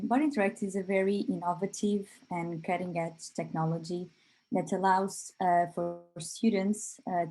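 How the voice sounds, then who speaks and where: A woman giving an online webinar presentation over a video call, pausing often on filler sounds as she talks. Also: lowish voice, mellow, low-quality recording. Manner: hesitant, frequent filler pauses. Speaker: company presenter.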